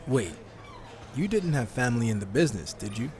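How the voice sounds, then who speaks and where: A man's voice: mid-range voice, very animated, a little fast, darkish tone, natural voice. A man voicing a self-assured, sardonic young rookie wrestler in a video game cutscene. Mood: cocky. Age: young adult. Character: cocky, wisecracking young male rookie wrestler.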